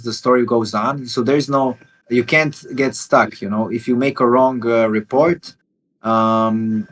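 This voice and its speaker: A man, a game developer, walking through his game in a livestream interview and talking haltingly with many fillers and restarts. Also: medium pitch, slight background noise. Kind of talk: explaining and demoing a game during a livestream interview.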